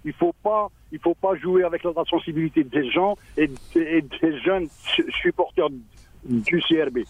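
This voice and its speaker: A man, a local mayor, speaking on a radio phone-in, halting and repeating words, with a choked-up voice. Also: a little high, slightly rough. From Algeria. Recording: tinny phone line.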